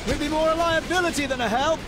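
A man's voice: very high voice, fast talker. A man playing a courteous, fatalistic veteran soldier in a game cutscene, wry and understated. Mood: wry resignation. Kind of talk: video game cutscene acting.

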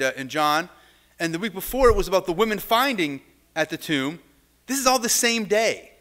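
A man's voice: high-pitched, pushed and bright. A middle-aged man preaching a sermon from a lectern in a church sanctuary, talking conversationally with restarts and asides, heard from a camera some way off. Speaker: pastor. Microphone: far camera.

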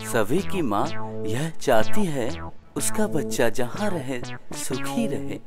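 A man's voice: mid-range voice, very animated, takes it slowly, over loud music. A man playing a clever, cheeky court jester in a cartoon, with a theatrical, assured way of explaining things. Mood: explanatory. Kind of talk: Hindi cartoon dubbing.

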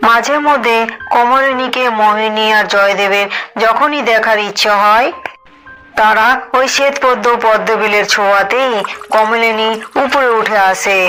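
Woman narrator, high voice, scripted cartoon fairy tale, clear background music. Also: steady tone, unhurried, pushed and bright, rough recording.

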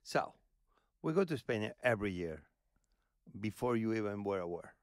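A man in his fifties hosting a podcast and teasing his family in casual conversation, recorded on a boom mic in a cozy living-room studio. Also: sing-song, normal speed, no room sound. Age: 50s. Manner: teasing, playful questioner. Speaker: podcast host. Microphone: boom-arm studio mic.

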